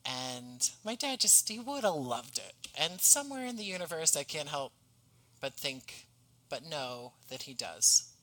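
Guy guest on a podcast, bright forceful voice, kinda high for a man, earnest and effusive chat. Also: sing-song, unhurried, rough recording. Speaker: podcast guest, audio drama writer and actor. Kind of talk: conversational interview.

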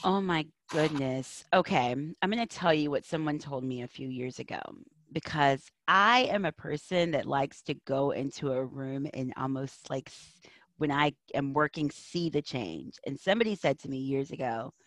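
A woman's voice: extremely low pitch, moderate pace, no room sound. A woman speaking as an interview guest, a counselor and educator, giving earnest, emphatic advice in reflective answers.

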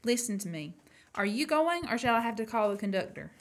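A woman's voice: fairly high pitch, big pitch swings, a little fast. A woman playing a haughty young lady train passenger in a radio play. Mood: indignant. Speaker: student actor.

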